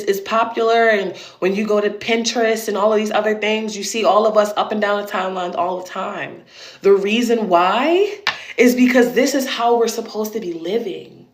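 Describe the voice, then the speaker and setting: Blunt deep-voiced woman, roomy echo. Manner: blunt, emphatic and profane, with repeated punchy phrases. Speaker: online creator and educator. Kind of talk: direct-to-camera monologue.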